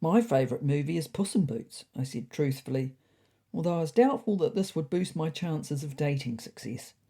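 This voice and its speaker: A woman's voice: lowish voice, dark tone, husky. A woman narrating a scripted comic story.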